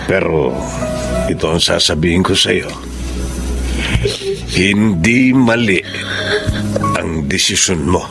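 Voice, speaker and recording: An actor playing a gentle retired father who reassures his daughter in a radio drama. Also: deep voice, slow pace, creaky, long pauses, exaggerated voice. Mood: reassuring. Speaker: father, retired ship worker. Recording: radio drama with music bed.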